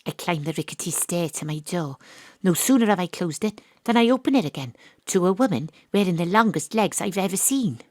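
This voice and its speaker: Female private eye played by an actress, Welsh accent, deadpan noir parody, sceptical, very dry close sound. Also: fairly low pitch, a little fast, no echo, lightly acted. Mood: wry, suspicious. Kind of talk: noir-parody audio drama narration and dialogue.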